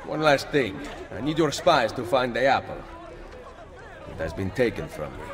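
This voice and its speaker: A man's voice: mid-range voice, sing-song, normal speed, crowd noise, natural voice. Man acting a resolute Italian assassin in echoing hall. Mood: firm, calm. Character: resolute Italian assassin leader, calm and determined. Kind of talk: video game cutscene acting. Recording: long reverb, faint music behind.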